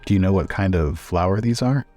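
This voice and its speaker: Low-voiced, thoughtful man playing tabletop roleplay. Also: a little fast, darkish tone, faint music behind. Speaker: player in a roleplaying podcast. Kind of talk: tabletop roleplay, inquisitive questions and action narration.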